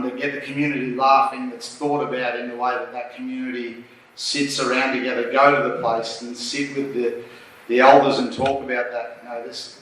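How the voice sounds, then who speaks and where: A man's voice: medium pitch, fairly bright tone. An Australian man answering on a conference panel, blunt, plain-spoken and urging. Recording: echoey hall, distant mic, faint noise.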